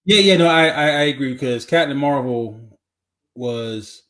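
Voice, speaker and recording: A man's voice: mid-range voice, expressive, moderate pace, fairly bright tone. A man co-hosting a podcast in casual chat, easygoing and conciliatory, with slangy turns of phrase. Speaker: podcast co-host.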